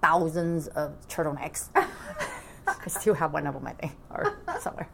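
Low-voiced woman. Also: wildly swooping pitch, gravelly, slight background noise. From Vietnam. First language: Cantonese. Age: 40s. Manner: halting, soft-spoken, frequent laughs. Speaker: interviewee. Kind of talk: oral history interview.

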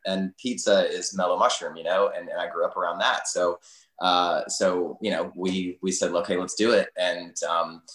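A middle-aged man with a low, deep voice, recorded in a noticeably echoey space. He tells stories casually as an interview guest, wandering through his thoughts with plenty of "you know". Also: fairly bright tone. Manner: rambling, peppered with "you know". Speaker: restaurateur podcast guest. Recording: roomy, echoing sound.

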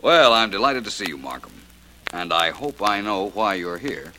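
An actor playing a suave, quick-witted private detective in an old radio drama, urbane and wry. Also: male voice, lowish voice, sing-song. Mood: wry, genial. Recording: faintly noisy old radio recording.

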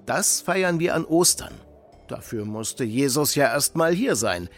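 An actor playing a gentle, middle-aged widowed father who patiently explains faith to children in a children's audio drama. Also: medium pitch, lively intonation, measured pace, soft background music. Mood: patient, earnest. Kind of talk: German children's radio play acting.

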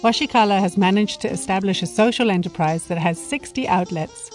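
A woman hosting and narrating a documentary feature in a measured, explanatory scripted read. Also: medium pitch, soft background music. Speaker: host and narrator.

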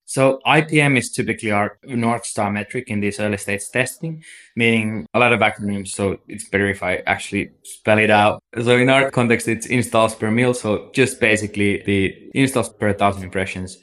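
A man with a Finnish accent and a somewhat low voice explains business topics as a guest in a podcast interview. He talks steadily and at length, leaning on "like" and "so" as he builds his points. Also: moderate pace, fairly bright tone. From Finland. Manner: explanatory, many fillers like "like" and "so". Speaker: agency CEO, guest.

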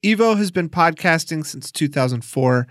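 A man's voice: on the high side, animated, normal speed, no echo, studio quality. A man hosting and narrating an audio documentary in a scripted style. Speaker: podcast documentary host and narrator.

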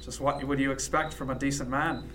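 Man acting a reserved, quietly tender first mate. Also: mid-range voice, flat delivery, brisk, slight background noise, close to an everyday voice. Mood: dry, teasing. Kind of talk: radio drama acting.

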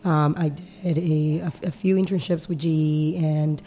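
A woman speaks in a low, mellow voice. She is a company founder in a podcast interview, earnest and thorough, explaining at length with many small fillers. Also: fairly even intonation, normal speed. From Peru.

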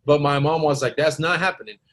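A male podcast guest from Costa Rica whose first language is Spanish. His voice sits a little higher than average, and he talks in an informal, anecdotal way. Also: steady tone, fairly quick, fairly bright tone. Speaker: podcast guest, blues guitarist. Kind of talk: casual storytelling in an interview.

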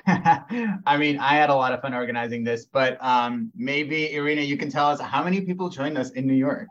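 An upbeat young man co-hosts a casual student podcast and talks through the week's events with enthusiasm. His voice sits at an average pitch for a man. The recording sounds a little dull and lo-fi. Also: moderate pace, fairly bright tone. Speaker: podcast co-host.

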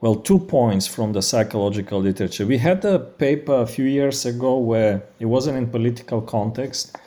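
A man's voice: mid-range voice, moderate pace, mellow. A man with a Bulgarian accent, a researcher explaining studies in an interview, academic in manner with frequent fillers. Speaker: guest researcher. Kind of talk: interview answers.

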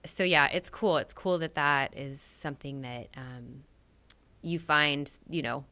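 A woman's voice: low-pitched, a little slow, bright, forceful tone, dry recording. A woman hosting a podcast, chatty and enthusiastic, interviewing a guest and closing the show. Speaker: podcast host. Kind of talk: casual interview and show outro.

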